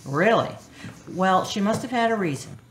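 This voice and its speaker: A woman's voice: medium pitch, expressive, moderate pace, lightly acted. A woman acting a caring, reassuring older sister in a radio drama, on a roomy, noisy recording.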